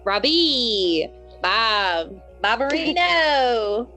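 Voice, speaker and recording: A woman's voice: a little high, animated, extremely slow, pushed and bright. A woman playing in a tabletop roleplaying game, casually narrating her character in a sassy, playful way. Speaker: player in a tabletop roleplaying podcast. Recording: dull recording with music underneath. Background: music.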